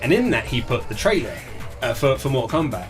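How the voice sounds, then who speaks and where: A man's voice: medium pitch, fast, over music. A British man, an author being interviewed, talks casually and enthusiastically about his subject. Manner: enthusiastic, animated film-fan talk.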